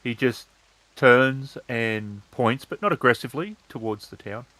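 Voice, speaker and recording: A man narrating a tabletop roleplaying game as game master, in a halting, measured way. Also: medium pitch, bright, forceful tone, poor audio.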